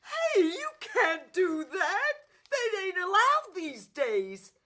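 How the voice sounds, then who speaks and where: A woman acts out a showy male court jester in a radio musical. The voice is high and swoops theatrically, drawing words out in a sing-song, pleading way. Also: big pitch swings, slow pace, bright, forceful tone, heavily acted. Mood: wailing protest. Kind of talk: stage musical radio play acting.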